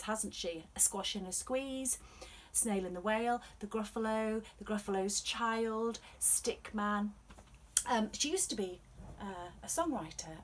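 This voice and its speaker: A woman telling stories to children, explaining things gently in an unhurried, expressive read-aloud way. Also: mid-range voice, slightly rough, low-quality recording. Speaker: storyteller.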